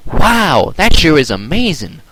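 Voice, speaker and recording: A man's voice: fairly high pitch, big pitch swings, unhurried, very noisy, voice pushed up. A man voicing a friendly young female farm pony with a raised, curious voice.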